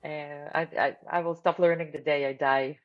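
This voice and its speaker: A woman's voice: deep voice, steady tone, moderate pace, highs cut off. A woman in her forties from Ukraine, raised in Israel, with an Israeli accent. She is a guest on an interview and talks casually with many fillers and restarts. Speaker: startup founder, guest.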